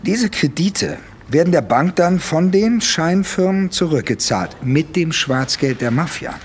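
A man's voice: a little high, expressive, measured pace, slight background noise. An actor playing a male police investigator in a radio drama, explaining things methodically. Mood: explanatory, assured.

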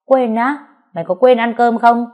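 Burly female street bully for audio story, played by a woman narrator: taunting, threatening, loud and coarse. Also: medium pitch, animated, fairly quick, muffled recording, natural voice. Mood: menacing, taunting. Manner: taunting, threatening, barking rhetorical questions. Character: burly thuggish woman bully, menacing and foul-mouthed.

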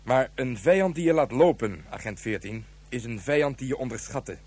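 An actor playing a cold, menacing colonel who speaks in measured, ominous maxims in a radio play. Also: male voice, medium pitch, animated, a little mellow, husky. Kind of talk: Dutch radio play acting.

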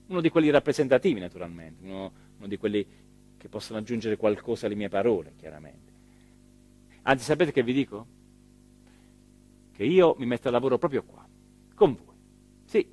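Rueful mayor, swinging pitch. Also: male voice, a little mellow. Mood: self-important, eager. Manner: rambling, hesitant, emphatic, with repeated phrases and pauses. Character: rueful, self-important small-town mayor of southern Italy. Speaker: stage actor performing a radio theatre monologue. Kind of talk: theatrical dramatic monologue.

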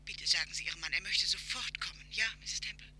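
An urgent, hushed caller on the phone. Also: female voice, mid-range voice, fast, a little hiss or hum. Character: urgent female boarding school head on the phone. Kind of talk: 1950s radio drama acting.

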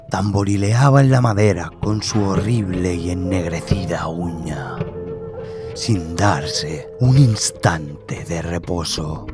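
Very low, slow male horror narrator. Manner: measured, suspenseful, with dramatic pauses. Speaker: narrator of an audio horror story. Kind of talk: dramatic scripted horror narration. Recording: loud music under the voice. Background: loud music bed.

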